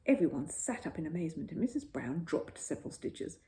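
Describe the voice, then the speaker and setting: A woman narrating a children's bedtime story in an expressive storytelling style. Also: sits low, brisk, a little hiss or hum.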